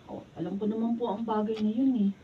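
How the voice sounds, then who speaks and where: A woman's voice: fairly high pitch, fairly even intonation, fast, natural voice. A woman playing a long-suffering, exasperated wife in a Filipino radio drama. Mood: downcast. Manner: apologetic, then scolding back. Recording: distant mic, dull with faint noise.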